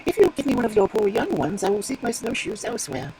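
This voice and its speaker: A woman voicing a cunning male fox in a storybook reading, with a calm, coaxing and falsely polite manner. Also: a little high, fast talker, a loud crowd, voice pushed up, rough recording. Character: sly cunning male fox, smooth schemer.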